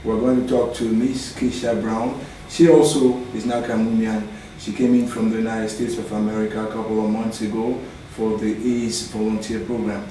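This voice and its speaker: Interviewer: man, fairly low mellow voice, brisk direct questions, off-mic in a very echoey room. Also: flat delivery. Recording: distant mic, very echoey room, faint noise.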